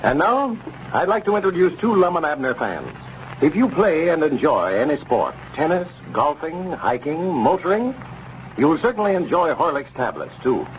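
Very animated 1930s radio ad announcer, man. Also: on the high side, normal speed. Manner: formal, sales-minded delivery. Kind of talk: scripted 1930s radio advertising. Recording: muffled 1930s radio recording, faint noise.

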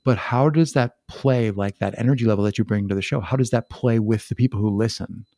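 A man's voice: fairly low pitch, a little fast, mellow, dry recording, studio quality. Rambling, jokey man hosting a podcast. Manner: rambling, jokey, self-interrupting. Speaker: podcast host. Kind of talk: casual interview chat.

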